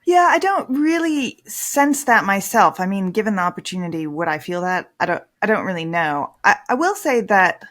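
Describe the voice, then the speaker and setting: A woman in her thirties, a priest and author, speaking candidly in an interview and swinging from soft reflection to emphatic stress. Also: medium pitch, animated, normal speed, few pauses.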